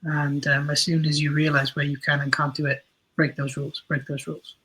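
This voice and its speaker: A man's voice: a little high, completely flat delivery, a little fast, pushed and bright, slightly rough, poor audio. A man giving thoughtful, opinionated answers as an interview guest, repeating points for emphasis. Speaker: interview guest, audio drama writer.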